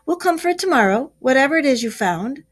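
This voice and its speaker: A cheerful, brisk male rat from a storybook, as a woman puts him on. He speaks a little higher than plain talk and urges others along. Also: lively intonation. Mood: hurried.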